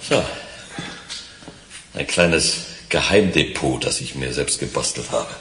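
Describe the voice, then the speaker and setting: Crime radio play: cautious, smug master thief, low voice, wryly philosophical, roomy sound. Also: male voice, slow. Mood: proud, conspiratorial. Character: Chris, shrewd, cautious, smug male art thief. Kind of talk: German crime radio drama acting. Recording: roomy echo, faint background noise.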